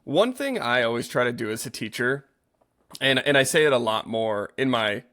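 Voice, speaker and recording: A man's voice: medium pitch, lively intonation, moderate pace, fairly bright tone. A man speaking as a yoga teacher and podcast co-host, explaining things earnestly in casual conversation and often correcting himself as he goes.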